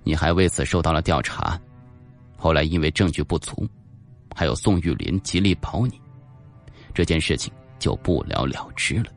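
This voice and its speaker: A cold police team leader, acted by a male audiobook narrator, speaks in a very low, creaky voice. He is probing and insinuating, with quiet menace in his delivery. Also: expressive, moderate pace, faint music behind, heavily acted. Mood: menacing. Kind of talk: audiobook character dialogue.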